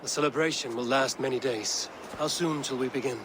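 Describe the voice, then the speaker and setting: A man's voice: medium pitch, fairly quick, natural voice. A man acting a steadfast Viking warrior and counsellor in a video game cutscene, grave, terse and commanding. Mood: grave, composed. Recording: clearly noisy background.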